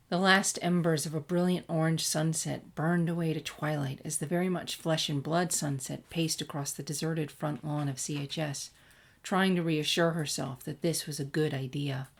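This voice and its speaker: A woman narrates a story with expression. Her voice sits low for a woman, and she reads from a script as a storyteller would. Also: creaky. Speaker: fan fiction reader.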